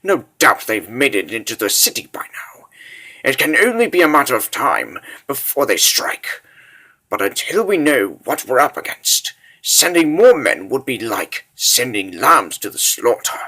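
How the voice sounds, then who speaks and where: A man performs a stern king carrying the weight of a besieged city in a fantasy comic dub. He speaks formally and with command, slowly and with dramatic rises and falls. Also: medium pitch, sing-song, bright, forceful tone, heavily acted, rough recording. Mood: grave.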